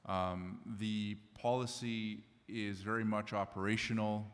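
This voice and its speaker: A man's voice: on the low side, a little slow, long reverb tail. Man speaking at a conference, easygoing. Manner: relaxed, joking, with "um" fillers. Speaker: union representative presenting. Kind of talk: conference presentation. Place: conference room. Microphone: room microphone.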